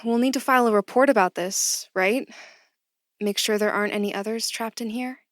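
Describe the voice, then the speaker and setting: A woman's voice: a little high, brisk, fairly bright tone, no echo, clean studio sound, soft background music, lightly acted. A woman playing an earnest, humble, questioning office worker in an audio drama, plain and understated. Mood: earnest, probing.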